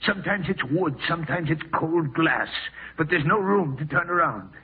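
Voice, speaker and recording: A man's voice: a little high, animated, moderate pace. An actor playing an aged, irascible dying man haunted by fear, in a 1940s radio drama style. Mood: haunted, fearful. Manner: crotchety, brusque. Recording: muffled distant husky old radio recording.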